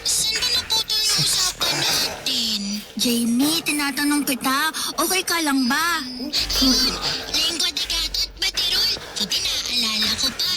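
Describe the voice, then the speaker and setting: A woman's voice: high-pitched, expressive, measured pace. A woman voicing an earnest, pleading young girl in a radio drama. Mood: eager. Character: young girl waiting by the phone for her friend. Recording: loud music underneath.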